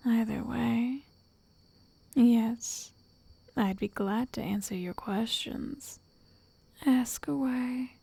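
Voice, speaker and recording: A woman voicing an obsessive, lovestruck witch in an ASMR roleplay, soft and whispery, coaxing with possessive endearments. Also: a little high, very slow, creaky, pauses often, breathy edge, no echo, full character voice. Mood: patient, controlling.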